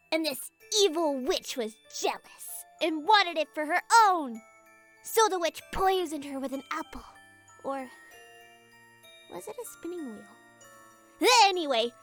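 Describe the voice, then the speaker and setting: A woman's voice: very high-pitched, fairly bright tone, full character voice. A woman voicing a sweet, eager young girl camper in a light-hearted audio drama, gushing with childlike enthusiasm.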